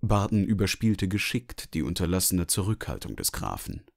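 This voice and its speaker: A man's voice: low voice, very animated, moderate pace, creaky. A man giving scripted literary narration as the narrator of a story.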